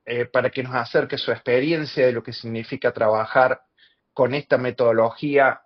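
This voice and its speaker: A man's voice: mid-range voice, fairly even intonation, moderate pace, lo-fi sound. Hesitant man introducing a guest. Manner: hesitant, filler-heavy. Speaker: interviewer. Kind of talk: interview introduction.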